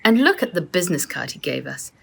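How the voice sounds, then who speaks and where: A woman's voice: fairly low pitch, very animated, fast, vocal fry, breathy edge, full character voice. A woman voicing an earnest, observant boy who reasons carefully in a children's storybook. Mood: suspicious, reasoning. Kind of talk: children's storybook read aloud.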